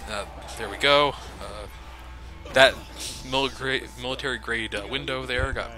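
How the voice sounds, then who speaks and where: A man's voice: medium pitch, steady tone, unhurried, over music. A man commentating over a video game, joking sarcastically and swearing freely. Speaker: let's play commentator. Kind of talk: casual gameplay commentary.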